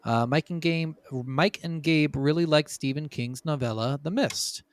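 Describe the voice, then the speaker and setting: Higher-pitched man hosting a podcast, close and dry. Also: a little slow, no room sound. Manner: sweary, emphatic storytelling. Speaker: podcast host. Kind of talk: casual podcast talk from notes.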